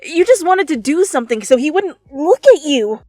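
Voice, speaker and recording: A woman's voice: sits high, lively intonation, moderate pace, darkish tone, dry recording. A woman reading fan fiction aloud as a dramatic second-person story narration. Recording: close, dry, music behind.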